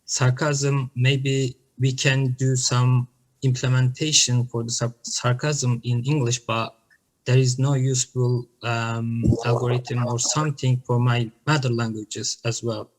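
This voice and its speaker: Turkish guy on a laptop mic, pretty monotone, keeps restarting his sentences. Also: mid-range voice, low-quality recording. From Turkey. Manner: halting, with frequent restarts and fillers. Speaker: conference presenter. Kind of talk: answering questions on a video call. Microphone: laptop webcam mic on a video call.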